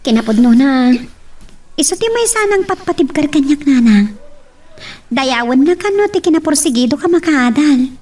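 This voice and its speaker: A woman's voice: high-pitched, lively intonation, moderate pace, a little mellow, far from the mic. A woman acting an earnest, obedient young student daughter with an Ilocano Filipino accent in an audio drama. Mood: earnest, grateful. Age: young adult.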